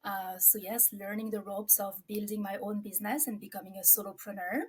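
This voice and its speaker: A woman's voice: medium pitch, steady tone, brisk, slightly rough. A woman in her forties from France, with a French accent, talking reflectively about herself as an interview guest. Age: 40s.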